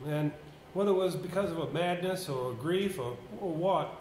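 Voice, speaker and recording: A man on stage playing a grave local man who solemnly and haltingly recounts a village haunting. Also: on the high side, darkish tone, natural voice. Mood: grim, haunted. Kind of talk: stage play dialogue. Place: indoor theatre stage. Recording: echoey amateur stage, faint noise.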